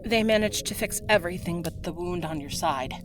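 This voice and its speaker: A woman's voice: lowish voice, brisk, over music, natural voice. A woman voicing a caring but firm tavern woman, motherly and soothing, in a fantasy roleplay audio drama. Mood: gentle, soothing. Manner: motherly, soothing, gently authoritative. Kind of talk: fantasy roleplay ASMR acting.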